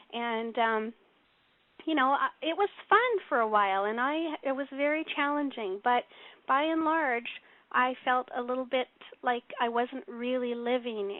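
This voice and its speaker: A woman's voice: a little high, no room sound. A woman in her forties with a young-sounding voice, giving an earnest, casual talk on a call, with many fillers and restarts. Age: 40s. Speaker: speaker on a teleconference call. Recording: tinny phone line.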